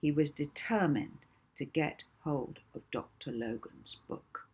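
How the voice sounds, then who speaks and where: A woman reading a children's novel aloud as an expressive audiobook narrator. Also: sits low, slow, breathy edge.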